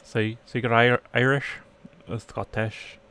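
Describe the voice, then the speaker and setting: A man's voice: medium pitch, little pitch movement, unhurried, bright, forceful tone, smooth. A man live-streaming a let's play, reading game text aloud and chatting in playful asides. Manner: playful asides, trying out accents.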